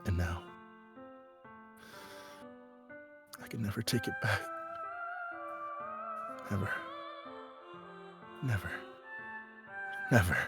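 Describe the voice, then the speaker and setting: A man playing an anguished teenage schoolboy who narrates a visual novel fan dub in a halting, emotionally raw way. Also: fairly low pitch, over music. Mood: devastated.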